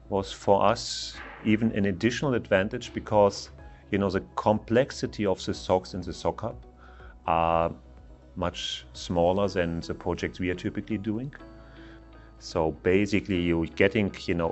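Deep voiced middle aged guy, relaxed interview talk, music faint behind. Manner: thoughtful, fillers like "you know". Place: bright lounge with plants, indoors.

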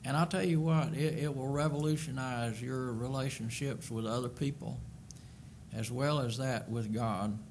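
A man's voice: mid-range voice, fairly even intonation, moderate pace, darkish tone, a little hiss or hum. An older man preaching a sermon from a church pulpit, earnest and emphatic, often repeating words as he builds a point. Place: church sanctuary at a wooden pulpit. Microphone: pulpit microphone.

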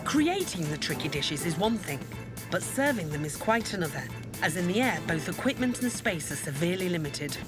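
A woman's voice: lowish voice, very animated, fairly quick. A woman reading scripted documentary narration. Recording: music bed under voice. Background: background music.

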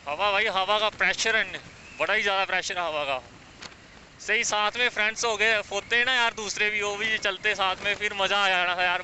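Young man vlogging on a noisy highway. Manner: chatty, excited, repeats "bhai" and "yaar". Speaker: motovlogger. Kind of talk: casual vlog commentary while riding. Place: outdoors on a highway, riding a scooter. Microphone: clip-on mic while riding. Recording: wind and traffic noise, dull lo-fi sound.

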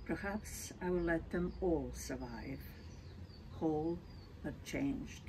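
An older woman from Serbia, reading poetry in measured, deliberate phrases. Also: low-pitched. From Belgrade, Serbia. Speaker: poet. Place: outdoors in a leafy garden. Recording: noisy outdoor ambience.

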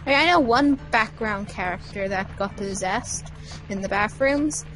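A woman's voice: medium pitch, moderate pace, a bit bright, poor audio. A woman chatting casually over a game stream, rambling and giggling with lots of fillers. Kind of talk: casual chat.

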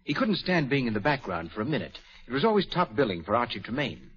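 An actor playing a courteous, proper valet in a 1940s radio drama. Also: male voice, medium pitch, sing-song, fast talker, fairly bright tone. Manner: courteous, formal. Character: polite male valet, discreet and proper. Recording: dull lo-fi vintage radio broadcast.

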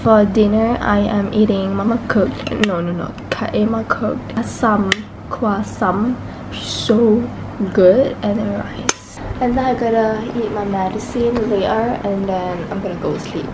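A woman's voice: mid-range voice, steady tone, a little slow, noisy background. A sick young woman with a Malaysian English accent, vlogging to camera from her bedroom while coughing and losing her voice, mixing Malay words into her English. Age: young adult. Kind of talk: casual vlog chat to camera. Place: bedroom at home, indoors. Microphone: camera mic, camera held close or on a tripod.

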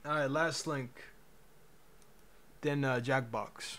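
A man's voice: mid-range voice, fairly even intonation, slow, noisy background. A young man in his twenties, casually chatting with his chat on a livestream through a headset, laid-back and joking. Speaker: streamer.

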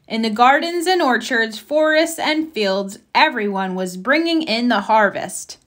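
A woman reading a children's story aloud in an expressive, careful storytelling manner. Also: on the high side. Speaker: storybook reader. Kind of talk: children's read-aloud narration.

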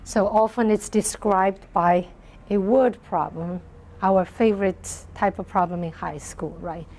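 A soft-spoken middle-aged woman lecturing on math in a large hall, often checking in with her class. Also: mid-range voice, steady tone, unhurried. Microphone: lapel mic.

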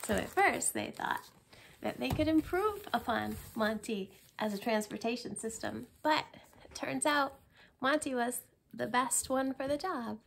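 Woman, thirties, story-time librarian: expressive and playful, unhurried, with very lively swings in pitch. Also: vocal fry, slight background noise. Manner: expressive, playful, addressing children. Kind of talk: animated picture-book read-aloud for children. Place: library room in front of bookshelves, indoors.

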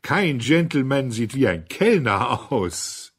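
A man's voice: medium pitch, very animated, slow, slightly rough, exaggerated voice. A man voicing an eager, jovial young aristocrat in a breathless, exuberant manner for an audiobook. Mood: indignant.

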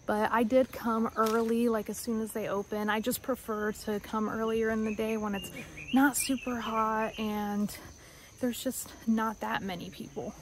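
Young woman, higher-pitched voice, casual vlog chat, informal and excited, some background noise. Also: unhurried. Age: young adult. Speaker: vlogger. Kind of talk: casual chatty vlog talk to camera.

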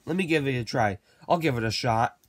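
A man improvising a toy skit with character voices, in casual, halting dialogue. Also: mid-range voice, animated. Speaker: amateur puppeteer voicing plush toys.

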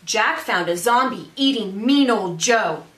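A woman's voice: mid-range voice, lively intonation, measured pace, a bit bright. A woman in her thirties, a teacher, reading a children's storybook aloud expressively. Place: small indoor room with brick wall. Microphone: camera a few feet away. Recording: echoey room, mic at a distance.

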